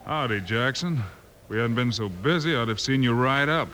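A steady Western lawman with a forceful, bright voice. He speaks in American English with clipped authority, in old radio drama style. Faint hiss sits behind him. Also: medium pitch, moderate pace. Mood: stern, explaining. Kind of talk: 1950s radio western acting.

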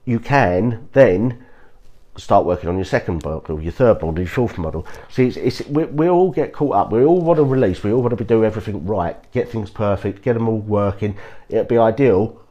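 A man hosting a podcast, sounding croaky from a cold, giving earnest casual advice with frequent restarts and repeated reassurances to his listeners. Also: lowish voice, moderate pace, dark tone, highs cut off. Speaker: podcast host. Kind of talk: casual motivational talk.

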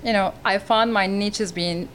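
A woman's voice: medium pitch, fairly even intonation, a bit bright, rough recording. Turkish-accented woman with faint background hiss. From Turkey. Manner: earnest, halting non-native phrasing. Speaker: real estate agent. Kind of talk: podcast interview.

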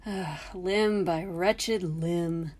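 An indignant teenage boy wizard from a storybook, acted out by a woman narrator. He speaks in emphatic, scornful bursts, loud and exasperated, with sarcasm on top. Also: medium pitch, expressive, moderate pace, voice pushed up, lightly acted. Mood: baffled, indignant. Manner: emphatic, sarcastic, incredulous outbursts.

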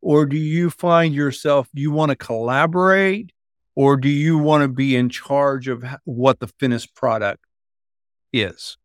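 A man's voice: medium pitch, a little slow, no room sound, clean studio sound. A man co-hosting a podcast, chatting and asking questions casually. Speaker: podcast co-host. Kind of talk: casual chat.

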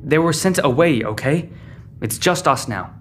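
A man's voice: a little high, lively intonation, normal speed, lightly acted. A man playing a troubled, short-tempered but protective teenage boy in a sci-fi audio drama, guarded and terse. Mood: frustrated, scared.

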